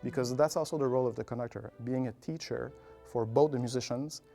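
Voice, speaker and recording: A man's voice: mid-range voice, fairly even intonation, quick pace, gravelly, warm tone, faint music behind. A man, an orchestra conductor, explaining things instructively with examples and analogies in interview answers. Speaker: orchestra conductor, artistic director.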